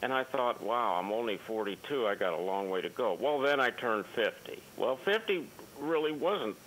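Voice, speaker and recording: A man's voice: medium pitch, animated, moderate pace, fairly bright tone, slight background noise, low-quality recording. Man in his sixties calling in. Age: 60s. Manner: rambling, storytelling. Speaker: caller. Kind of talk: phone-in call. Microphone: phone.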